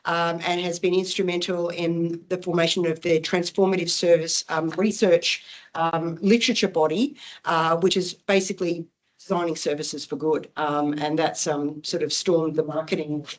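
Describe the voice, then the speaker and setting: A woman hosting an academic event, giving a semi-formal introduction of a guest speaker in a hesitant, halting way. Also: on the low side, little pitch movement.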